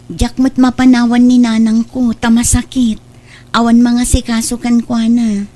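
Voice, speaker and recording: A woman's voice: a little high, flat delivery, measured pace, warm tone, lightly acted. A woman playing an anxious young bride-to-be who looks after her mother, in a radio-style comedy drama.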